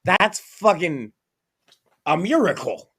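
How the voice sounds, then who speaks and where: Man, podcast co-host, from Massachusetts, swears freely, fairly high voice. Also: very animated, takes it slowly, creaky, a bit bright, slightly rough. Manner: profane, emphatic, opinionated. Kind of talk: casual podcast chat.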